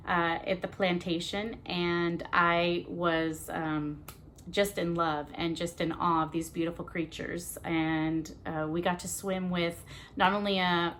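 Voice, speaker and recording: A woman's voice: fairly low pitch, fairly bright tone, slight background noise. A woman hosting a children's storytime, narrating expressively and adding casual asides. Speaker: children's storytime reader and host.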